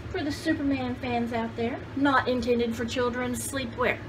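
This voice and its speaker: A woman's voice: on the high side, steady tone, moderate pace. A woman in her sixties chats casually to camera as a vlog host, rambling and enthusiastic, with exclamations. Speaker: vlog host showing thrift haul finds. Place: cluttered home craft room, indoors. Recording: clearly noisy home video background.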